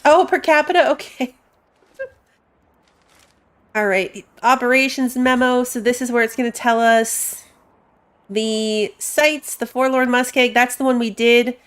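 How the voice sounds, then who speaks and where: A woman's voice: on the high side, slow pace, pauses often, clear, resonant tone. A woman giving casual live commentary as she plays a game, chatting and thinking aloud. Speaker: game streamer.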